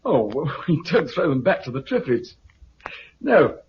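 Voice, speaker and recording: Confident British survivor-colony recruiter, animated delivery, muffled, hissy 1950s radio sound. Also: male voice, a little high, measured pace. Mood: persuasive. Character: brisk, confident male recruiter for an island survivor community.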